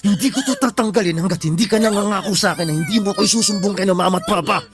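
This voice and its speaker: Insolent, high-voiced young Filipino bully. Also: male voice, rapid-fire, music in the background. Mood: threatening, hushed urgency. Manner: defiant, sneering, bossy. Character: insolent young man, menacing son of the household. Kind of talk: Filipino radio drama acting.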